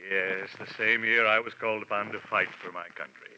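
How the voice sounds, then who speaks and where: Stuffy gruff insurance exec, 40s radio show, really sharp voice, muffled n a bit noisy. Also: male voice, fairly low pitch, steady tone, brisk. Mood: stiff, huffy. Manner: stiff, businesslike. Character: gruff, self-important male insurance executive, ex-army desk officer. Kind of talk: 1940s radio drama acting. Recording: muffled, faintly noisy old radio broadcast.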